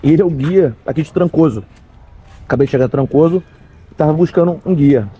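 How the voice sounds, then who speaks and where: A man with a husky, slightly rough voice hosts a travel vlog, chatting casually with locals. His quick, playful reactions keep the conversation light as he walks outdoors. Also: on the high side, mellow, highs cut off. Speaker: travel vlogger.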